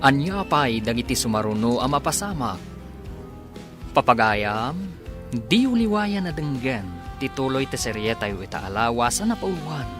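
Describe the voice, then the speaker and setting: A male announcer narrates a scripted radio drama. His voice is medium in pitch, with a Filipino accent, and music plays clearly behind it.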